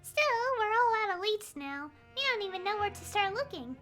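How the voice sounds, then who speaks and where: Game fairy sidekick: childlike, excitable, easily annoyed, bright squeaky voice over clear music. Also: female voice, a little fast, exaggerated voice. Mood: annoyed, bossy. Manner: exclamatory, emphatic.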